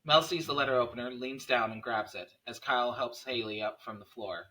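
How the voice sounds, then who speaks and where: A man's voice: mid-range voice, a little fast, pushed and bright, a little hiss or hum, low-quality recording. A man reading the stage directions of a horror screenplay as scripted narration.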